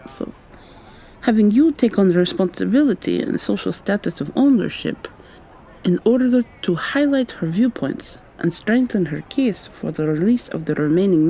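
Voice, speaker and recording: Mellow, soft-spoken young vampire student , woman acting. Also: mid-range voice, slightly rough, poor audio. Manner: soft-spoken, articulate, questioning. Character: articulate, probing young female vampire student. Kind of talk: ASMR roleplay, intimate conversational acting.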